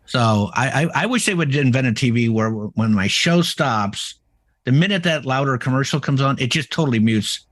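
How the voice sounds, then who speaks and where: A middle-aged man co-hosting a podcast, chatting casually in a boisterous, loud way and telling stories with quoted asides. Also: medium pitch, expressive, moderate pace. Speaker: podcast co-host. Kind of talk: casual chat.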